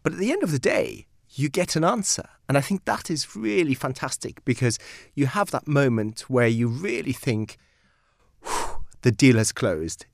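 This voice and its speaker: A Belgian-born man whose first language is Dutch, speaking as a guest lawyer in a studio interview and explaining things in a measured, emphatic way. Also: medium pitch, expressive, creaky, no room sound. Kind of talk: articulate interview answers.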